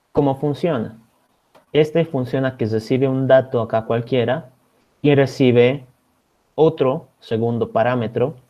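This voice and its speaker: A man from Bolivia teaching an online programming class as a teaching assistant. He explains code step by step and keeps checking in with his students. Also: mid-range voice. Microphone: video call. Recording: slightly dull video-call audio.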